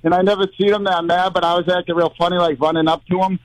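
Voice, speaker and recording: A male caller with a fairly high voice rambles on a sports radio show. He is effusive and digressive, and the sound is close and dry. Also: little pitch movement, fast talker, no room sound, poor audio. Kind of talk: rambling casual fan call.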